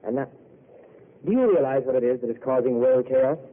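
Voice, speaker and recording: An actor playing a weary, self-mocking intellectual who speaks in a wry, eloquent way, heard on a tinny old radio broadcast. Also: male voice, mid-range voice, animated, brisk, close to an everyday voice. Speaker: wandering failed writer. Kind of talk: 1930s radio drama acting.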